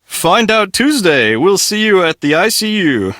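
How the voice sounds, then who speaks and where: A man's voice: high-pitched, very animated, a little slow, fairly bright tone, no room sound, studio quality, exaggerated voice. A man playing a melodramatic, teasing soap opera announcer in a TV promo within a game.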